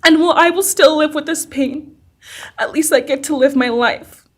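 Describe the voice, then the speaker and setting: Young woman, high register, emotional. Solemn courtroom statement, delivered in short emphatic phrases. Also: normal speed. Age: young adult. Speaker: survivor giving a victim impact statement. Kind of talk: prepared personal statement read in court.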